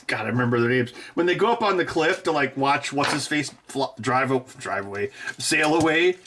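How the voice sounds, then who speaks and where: A man's voice: medium pitch, lively intonation, moderate pace, background noise. A man hosting a live stream, rambling casually and joking, laughing often.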